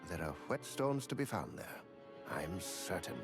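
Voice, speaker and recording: Low-voiced, courteous fantasy armaments keeper. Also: male voice, big pitch swings. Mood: courteous, measured. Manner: polite, measured, ornate formal diction. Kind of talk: scripted video game character dialogue. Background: music.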